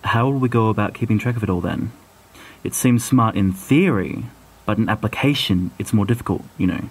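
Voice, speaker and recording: A bookish, reluctant schoolboy, played by a man, sensible and dry in an audio fiction reading. The voice is slightly deep and husky, with measured delivery. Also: darkish tone, poor audio. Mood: considering, reluctant.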